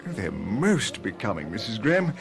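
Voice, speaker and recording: Gallant, animated ship's captain. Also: male voice, on the low side, normal speed, creaky, over music. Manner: formal, gallant. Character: gallant, courteous ocean liner captain, grown man. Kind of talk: old-time radio drama acting.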